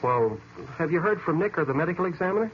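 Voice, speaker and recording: A blunt, persistent male sidekick in a vintage radio detective show, played by an actor. His casual talk rises and falls in an animated way. The recording is muffled with a faint hiss of noise. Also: a little high, fast talker, close to an everyday voice. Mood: puzzled. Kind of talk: old-time radio mystery acting.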